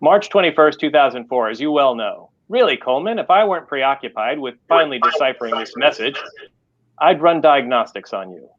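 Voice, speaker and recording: A man's voice: mid-range voice, animated, brisk, a bit bright. Story reading, cranky elderly male scientist-tycoon, done by a male reader: petulant, stubborn, slightly muffled lo-fi audio. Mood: grumbling. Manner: grumbling, petulant. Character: irritable, stubborn, very old male tech tycoon.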